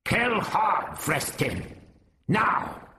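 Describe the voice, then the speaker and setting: A man voicing a commanding, hostile winged insect alien in a story, with a harsh, crackling, high-pitched voice and stilted, strange accent. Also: sing-song, sharp tone, roomy sound, full character voice. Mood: fierce command. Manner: stilted, clipped, drawn-out delivery. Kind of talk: dramatised audiobook character voice.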